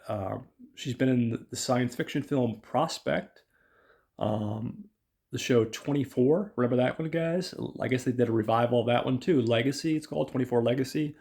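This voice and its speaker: A man hosting a podcast whose voice is raspy from illness, chatting loosely through a film review with many ums and uhs and talking to his listeners as guys. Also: medium pitch, darkish tone. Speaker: podcast host.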